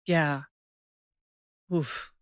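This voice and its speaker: A woman's voice: very slow, dry recording, clean studio sound. A woman hosting a podcast interview in casual conversation. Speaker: podcast host.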